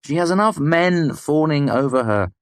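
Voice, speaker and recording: A man voicing a wry, guarded young student hero in an audiobook, speaking in a measured way. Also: on the high side, expressive, dry recording, clean studio sound, pitched above the performer's own voice, natural voice.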